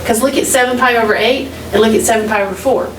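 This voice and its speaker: A woman's voice: on the high side, fast talker, recorded from a distance. A middle-aged woman teaching math in a classroom, questioning students and repeating key points in a directive way. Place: classroom, heard from across the room. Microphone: distant camera microphone. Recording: echoey classroom from afar, noisy.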